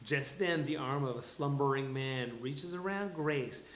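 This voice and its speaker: Male host, mid-pitched, slow halting script narration, live venue, roomy echo. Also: fairly bright tone, a little hiss or hum. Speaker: host and stage-direction reader. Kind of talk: live table read, announcing and narrating script.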